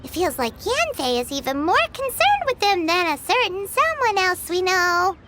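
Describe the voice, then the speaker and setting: A woman's voice: very high voice, big pitch swings, moderate pace. A woman voicing a tiny female fairy companion who is blunt and whiny and refers to herself in the third person, in a game cutscene. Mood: grumbling. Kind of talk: video game cutscene voice acting. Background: game soundtrack music.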